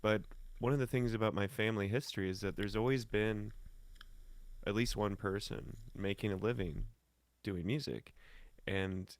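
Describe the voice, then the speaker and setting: An American man from St. Louis telling casual stories as an interview guest, in slangy, animated talk. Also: fairly low pitch, gravelly, no room sound. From St. Louis, Missouri, United States. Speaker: podcast guest, singer and musician. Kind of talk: casual conversational storytelling.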